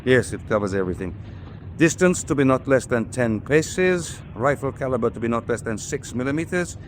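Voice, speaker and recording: A man's voice: medium pitch, lively intonation, normal speed, natural voice. Guy as a dutiful u-boat officer in a radio drama, matter of fact and official, medium voice, slight engine noise.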